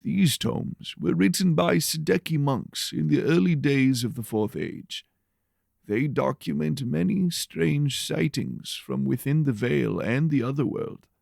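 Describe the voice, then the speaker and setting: A man voicing a grave, scholarly church rector who speaks formally and deliberately in an audio drama. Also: a little high, creaky, a little mellow, no room sound, studio quality, voice pushed up, exaggerated voice. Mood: solemn, explanatory.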